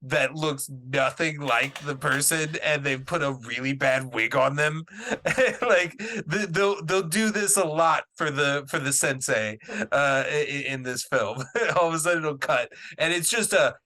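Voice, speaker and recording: Bright-voiced man chatting about movies. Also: on the high side. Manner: laughs often, halting with fillers. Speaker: podcast co-host. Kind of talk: casual movie discussion.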